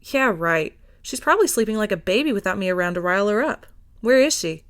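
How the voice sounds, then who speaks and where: A woman's voice: mid-range voice, animated, a little fast, natural voice. A woman voicing a friendly, easygoing man in an audiobook, with a casual, teasing manner. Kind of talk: acted fiction reading.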